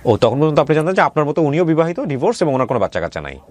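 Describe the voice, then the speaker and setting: A man's voice: fairly high pitch, very animated, very fast, darkish tone. A Bangladeshi man hosting a conversational interview, asking probing questions and mixing English words into his speech. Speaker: talk show host interviewer.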